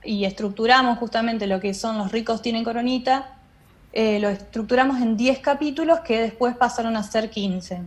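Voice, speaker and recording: High-voiced woman explaining. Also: normal speed. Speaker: interviewed radio drama director. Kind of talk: interview explanation.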